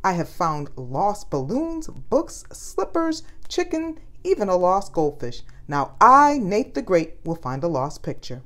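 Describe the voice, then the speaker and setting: A woman's voice: lowish voice, sing-song, unhurried, few pauses, fairly bright tone, pitched above the performer's own voice. Woman reading as a self-assured boy detective. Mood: confident.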